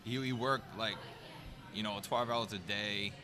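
A man's voice: lowish voice, steady tone, a little slow, over music. A man chatting casually and playfully, laughing often and using slang. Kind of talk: casual chat.

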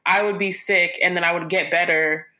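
A young woman co-hosting a casual chat podcast, telling animated stories and speaking straight to listeners. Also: lowish voice, moderate pace. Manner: animated storyteller, addresses listeners as y'all. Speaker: podcast co-host.

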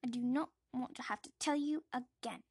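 Woman putting on a loud, scolding aunt. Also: high voice, normal speed, husky, no echo, studio quality. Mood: cold. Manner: loud, sharp and contemptuous. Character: harsh, scolding middle-aged aunt.

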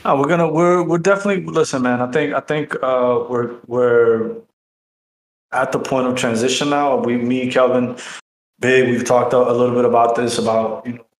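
A man's voice: mid-range voice, steady tone, normal speed, roomy sound, poor audio. A middle-aged man chatting casually as a podcast host, rambling and slangy.

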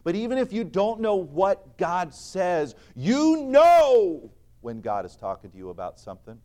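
Higher-voiced man preaching earnestly. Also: sing-song, measured pace. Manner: earnest, emphatic preaching with swings between loud and soft; recites scripture in old-fashioned wording. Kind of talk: sermon.

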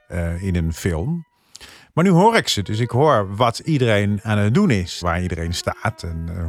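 Dutch guy on a podcast panel, fairly low voice, casual, bit halting, faint music. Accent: Netherlandic Dutch. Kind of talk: casual chat.

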